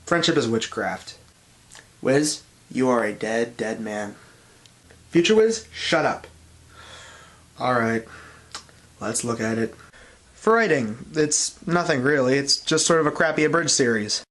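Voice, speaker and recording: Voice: mid-range voice, lively intonation, unhurried, pauses often, a bit bright. A reviewer giving blunt, opinionated scripted commentary with a sardonic manner. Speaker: reviewer, host of an online review show.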